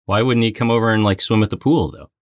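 A man's voice: on the low side, fast, dry recording, studio quality. A man in his forties co-hosting a podcast, chatting casually with teasing, inquisitive questions. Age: 40s. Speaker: podcast co-host. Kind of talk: casual chat.

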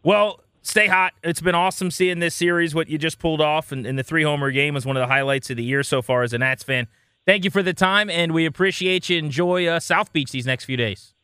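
A man's voice: on the high side, fairly quick, pushed and bright, clear, resonant tone, no echo, studio quality. A man hosting a sports podcast, chatting in an emphatic, playfully opinionated way. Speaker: podcast host.

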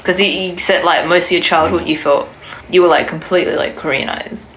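A woman's voice: fairly low pitch, steady tone, a little fast, fairly bright tone. A woman with an Australian accent, a podcast guest who chats casually and asks lots of questions, full of "like". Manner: inquisitive, filler-heavy, lots of 'like'. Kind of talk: casual conversation. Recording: noisy recording.

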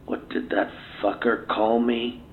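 A man's voice: mid-range voice, level tone, slow pace, lightly acted. A man playing an insulted, hot-tempered scientist making sweary threats in an audio drama. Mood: outraged. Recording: muffled tinny recording with noise.

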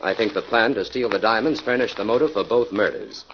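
An actor playing a self-assured, decisive crime-fighting sleuth in a 1940s radio drama, speaking in a brisk, commanding manner. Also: male voice, fairly low pitch, animated. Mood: deliberate, confident. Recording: muffled, noisy 1940s radio recording.

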